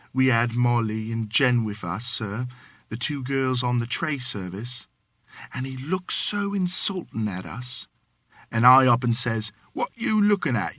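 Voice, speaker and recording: A man's voice: mid-range voice, pushed and bright, no echo, full character voice. A man voicing a nervous, deferential young Cockney servant who keeps calling his master sir.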